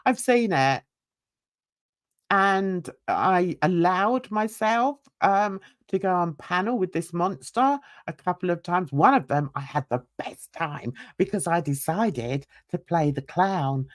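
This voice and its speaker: A woman's voice: on the low side, lively intonation, measured pace, dry recording, clean studio sound. An older woman, ranting bluntly and indignantly while reading a livestream chat and talking to viewers. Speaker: YouTube commentator.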